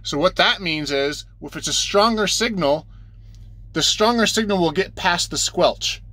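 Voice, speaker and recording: A man's voice: high-pitched, lively intonation, measured pace, bright, forceful tone, dry recording. A man giving a casual, off-the-cuff tutorial as an instructor, explaining things plainly step by step and repeating points for beginners.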